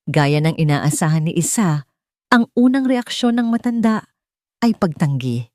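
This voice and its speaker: A woman's voice: low voice, very animated, unhurried, dark tone, no echo, studio quality. A woman with a Filipino accent reading a scripted dramatic story as an audiobook narrator.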